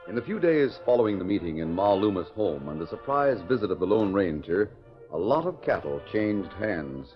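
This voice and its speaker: Low-voiced man narrating old-time radio. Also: sing-song, normal speed, faint music behind. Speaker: announcer and narrator. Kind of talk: 1940s radio scripted narration. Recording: muffled 1940s radio recording.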